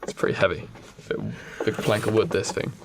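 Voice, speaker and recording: A man's voice: fairly low pitch, steady tone, brisk, background noise. A man co-hosting a podcast, casually improvising a story in loose conversational talk. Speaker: podcast co-host.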